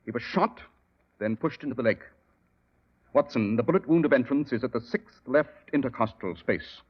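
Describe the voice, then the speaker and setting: A man's voice: medium pitch, lively intonation, normal speed. An actor playing a sardonic, precise male detective in a 1940s radio drama, on a dull old broadcast recording. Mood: sardonic, brisk. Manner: crisp, sardonic, elaborate diction.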